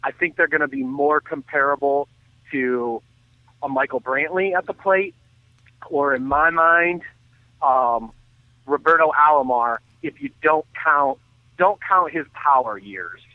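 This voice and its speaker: A man giving earnest, detailed off-the-cuff baseball commentary as a radio guest, often halting and restarting his sentences. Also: fairly high pitch, dry recording. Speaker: baseball analyst and guest on a sports radio show. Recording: thin phone-like line.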